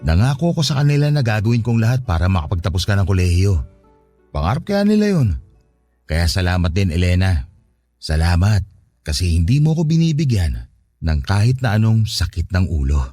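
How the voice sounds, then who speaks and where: A big brother in a radio drama, caring and earnest, talks fast, bit of music behind. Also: male voice, sits low, big pitch swings, warm tone. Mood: tender, concerned. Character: hardworking, caring older brother, a young farm laborer. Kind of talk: Tagalog radio drama dialogue.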